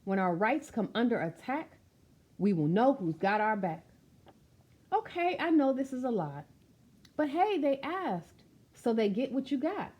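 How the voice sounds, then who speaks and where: A woman's voice: fairly high pitch, very animated, a little slow, slightly rough, lo-fi sound. A woman reading a children's picture book aloud, speaking expressively and directly to young listeners with chatty asides. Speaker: storytime reader.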